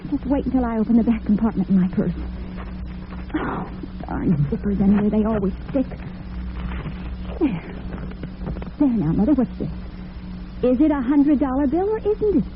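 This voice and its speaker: A woman's voice: sits high, animated, moderate pace, pauses often. A woman playing a devoted, nervous and defensive young working daughter in an old-time radio drama. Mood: defensive, flustered. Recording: tinny, noisy vintage radio broadcast.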